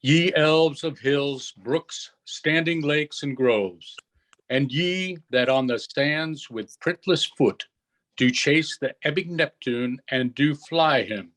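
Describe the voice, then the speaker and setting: Slow, stern man reading as an old sorcerer. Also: fairly high pitch, fairly bright tone. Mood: solemn, incantatory. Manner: measured, declamatory verse reading. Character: commanding old male sorcerer, stern yet softening to mercy.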